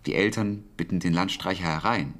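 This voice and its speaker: Radio drama casting: exacting, quietly smitten codemaster, very low voice, hesitant and precise, wistful. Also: male voice, fairly quick. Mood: reflective storytelling. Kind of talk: German radio drama acting.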